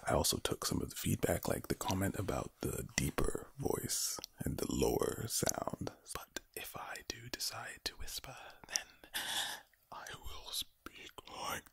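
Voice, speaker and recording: A man doing ASMR in a low, breathy whisper, giving a soothing pep talk with repeated phrases and a villain-like, menacing tone. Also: very animated, unhurried. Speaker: ASMR video creator.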